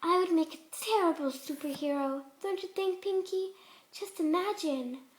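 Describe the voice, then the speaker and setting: A woman voicing a shy, gentle young female pegasus pony, bashful, hesitant and polite, in a cartoon fan story. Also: very high voice, normal speed, pitched above the performer's own voice. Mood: teasing.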